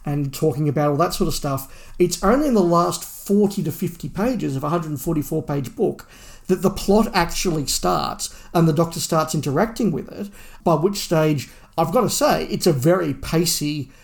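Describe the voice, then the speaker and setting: A man's voice: a little high, expressive, normal speed, creaky. A man co-hosting a podcast, discussing a book casually in an analytical, opinionated way with emphatic repetition. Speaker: podcast co-host.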